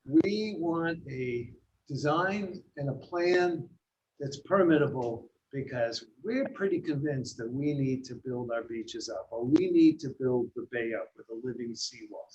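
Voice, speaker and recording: Dull, slightly noisy meeting audio carries an American man from Massachusetts speaking as board chair. He explains informally, often pausing and restarting his sentences. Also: mid-range voice, darkish tone. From Massachusetts, USA. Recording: muffled, lo-fi meeting audio with noise.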